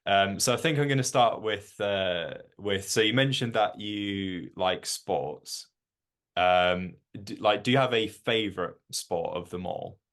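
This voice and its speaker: A man's voice: on the low side, unhurried, a bit bright. A man hosting a casual interview, asking long rambling questions filled with "like". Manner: rambling questions full of "like" and restarts. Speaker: interview host.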